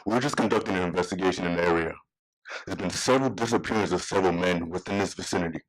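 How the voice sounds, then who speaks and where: Man reading as a brisk, very low-voiced police officer. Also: natural voice, rough recording. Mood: businesslike. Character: male plainclothes police officer, calm and businesslike. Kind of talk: scripted table read.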